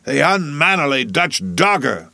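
A man's voice: mid-range voice, lively intonation, moderate pace, a bit bright, no echo, studio quality, voice pushed up, natural voice. A man voicing a jocular, blustering whaleboat mate in an audiobook, hectoring his crew with exclamations and rhetorical questions. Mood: roaring challenge. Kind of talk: audiobook storybook character voice.

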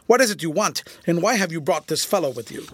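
A man playing a stern, forceful old general. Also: on the high side, animated, fast, breathy edge, pitched above the performer's own voice. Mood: stern, irritated. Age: older. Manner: stern, imperious, formal. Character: stern, hot-tempered old general, imperious and haunted.

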